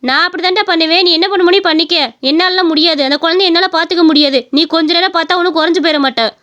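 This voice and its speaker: Woman playing a brash, fast-talking rich young woman. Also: very high voice, fairly even intonation, a bit bright, lo-fi sound. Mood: defiant. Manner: brusque, assertive. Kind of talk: cartoon story dialogue.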